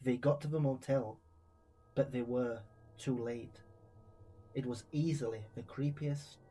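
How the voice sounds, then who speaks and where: A man's voice: medium pitch, fairly even intonation, unhurried, mellow, breathy edge. A man narrating creepy first-person true stories from a script, as a true crime storyteller.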